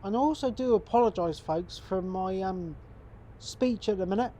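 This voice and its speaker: Male gaming host, congested from a cold, warm low-key tone, relaxed running commentary. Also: moderate pace, a little hiss or hum. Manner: relaxed, chatty, talks to viewers as folks. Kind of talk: casual gameplay commentary.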